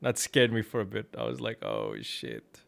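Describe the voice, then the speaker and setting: A man's voice: mid-range voice, flat delivery, a little fast, gravelly, a bit bright. A man chatting casually on a livestream, rambling and swearing freely. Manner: profane, rambling. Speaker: streamer.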